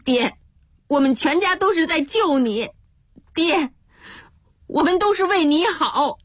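A man's voice: extremely high pitch, lively intonation, takes it slowly, fairly bright tone, no echo, clean studio sound, voice pushed up, exaggerated voice. A woman narrator voicing a kind-hearted, anxious son who pleads earnestly with his father. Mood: tearful pleading.

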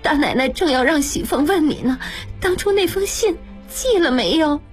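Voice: high-pitched, lively intonation, normal speed, faint music behind, pitched above the performer's own voice. A narrator voicing an earnest, fretful young maidservant in a plaintive way for an audiobook. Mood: anxious, urgent.

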